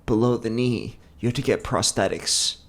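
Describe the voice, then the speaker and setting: A man's voice: mid-range voice, moderate pace, creaky, pitched above the performer's own voice. A man voicing a distraught mother who pleads tearfully over her injured son. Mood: anguished. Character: frantic loving mother of a hurt boy.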